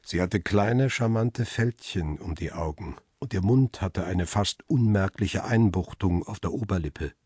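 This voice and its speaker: An actor playing a world-weary paparazzo who narrates his own crime story in a radio drama, wry and understated, with a husky voice. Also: sits low, unhurried, hardly any pauses, darkish tone, dry recording, studio quality. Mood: reflective. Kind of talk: first-person radio drama narration with dialogue.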